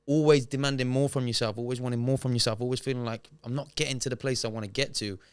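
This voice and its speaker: A man's voice: mid-range voice, fairly even intonation, quick pace, smooth, no room sound. A man co-hosting a podcast, talking earnestly and encouragingly in casual chat. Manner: earnest, motivational. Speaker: podcast co-host.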